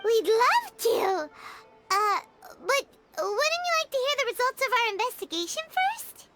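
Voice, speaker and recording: A woman voicing a tiny, chirpy, childlike female companion creature in a video game, squeaky, eager and polite. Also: very animated, a little slow, over loud music, full character voice.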